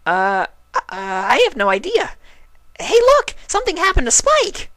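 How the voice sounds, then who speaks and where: A performer voicing a hyperactive, excitable young female cartoon pony in a bubbly, exclaiming manner. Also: sits high, unhurried, no echo, clean studio sound, pitched above the performer's own voice, heavily acted. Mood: excited, alarmed.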